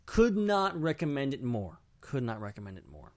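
A man's voice: medium pitch, huge pitch swings, moderate pace, a little mellow. A man hosting a podcast in casual, enthusiastic chat, effusive and prone to repeating phrases for emphasis. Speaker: podcast host.

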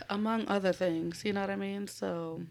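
A woman with a husky, cold-roughened voice talks into a microphone at home. She rambles, giggles and swears easily in a loose podcast chat. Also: medium pitch, steady tone, a little slow. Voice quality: raspy. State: recovering from a cold. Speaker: podcast co-host. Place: home room. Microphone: studio microphone.

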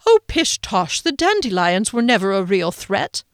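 A woman doing a pompous gentleman schemer. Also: mid-range voice, sing-song, measured pace, clear, resonant tone, no room sound, clean studio sound. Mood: pompous coaxing. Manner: pompous, exasperated, old-fashioned.

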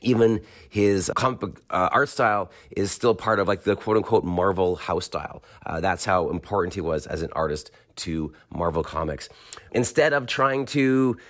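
A man with a hoarse voice from a cold, talking through a podcast segment in a measured way with pauses. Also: low-pitched. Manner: measured, with frequent pauses and fillers. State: hoarse, losing his voice from a cold. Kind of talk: monologue commentary, partly reading a statement.

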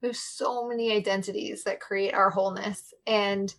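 A woman speaking as a podcast interview guest, reflective and warm in tone, laughing often and addressing the listeners directly. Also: mid-range voice, fairly even intonation, normal speed.

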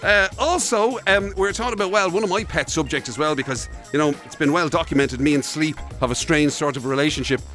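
A man's voice: fairly high pitch, fast talker, hardly any pauses, a bit bright, soft background music. An Irish man co-hosting a radio show in casual, conversational style.

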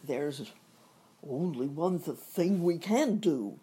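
A woman voicing a big, timid male lion in a storybook reading, in a loud, full and earnest way. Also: deep voice, expressive, slow, husky, exaggerated voice.

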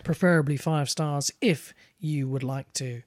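A man's voice: on the high side, fairly even intonation, a little slow, no echo. A young man with a British accent co-hosting a podcast, chatting casually with dry, rambling teasing. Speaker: podcast co-host. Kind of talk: casual chat.

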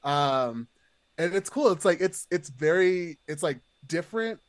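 A man's voice: fairly high pitch, expressive, a little slow, no room sound. A man chatting casually as a podcast guest, rambling along and peppering his sentences with "like". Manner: rambling, heavily hedged with "like".

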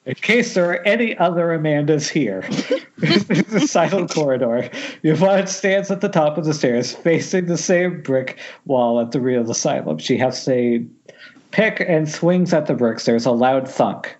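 A man's voice: a little high, animated, measured pace. A man reading script narration aloud at a table read, with casual asides. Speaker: stage directions reader. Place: remote group voice call. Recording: muffled internet call audio.

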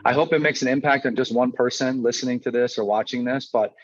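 A man in his thirties speaks as a podcast guest, with a mid-pitched voice and a conversational, storytelling delivery. He comes from Chicago, and his audio is a little dull and lo-fi. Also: steady tone, quick pace. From Chicago, United States. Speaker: podcast guest, mortgage branch manager and coach.

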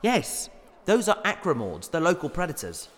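A man acts a bookish, mild-mannered space researcher, polite and a little hesitant. His pitch is on the high side and very lively. The sci-fi drama recording has long cave reverb and faint noise. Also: moderate pace. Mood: mildly excited. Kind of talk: sci-fi audio drama acting.

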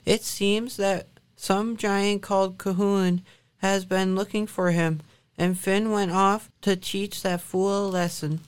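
A woman acting a shrewd, calm giant's wife in a radio drama, coaxing and unflappable. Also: mid-range voice, takes it slowly, clean tone, natural voice. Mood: sly.

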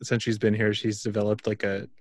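A man hosting a casual interview, relaxed and laughing, telling loose anecdotes and asking questions. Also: on the low side, a little fast, no echo, studio quality. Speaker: podcast host.

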